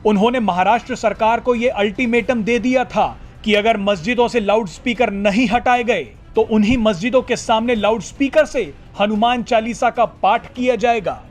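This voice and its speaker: A man narrates a scripted news report. His voice is pitched unusually high for a man, and faint music plays beneath his narration. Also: normal speed, few pauses.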